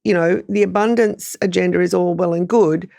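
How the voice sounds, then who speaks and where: Australian woman commentator with a creaky voice. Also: fairly low pitch, fairly even intonation, moderate pace, dark tone. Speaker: news commentator, panellist. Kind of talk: conversational political analysis.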